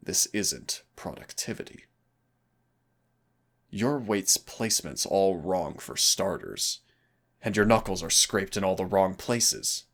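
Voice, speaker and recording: A man playing a teasing but stern demon hunter in an audio roleplay, speaking deliberately with dramatic pauses in a gravelly, husky voice. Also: deep voice, big pitch swings, heavily acted. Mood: stern, coaxing. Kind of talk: audio roleplay acting, intimate second-person dialogue.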